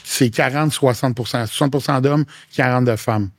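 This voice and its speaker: A man's voice: medium pitch, moderate pace, dry recording, studio quality. Frank Québécois man chatting. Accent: Québécois French. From Quebec. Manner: frank, animated, mixes in English slang. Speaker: fitness coach, podcast guest. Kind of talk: casual interview chat.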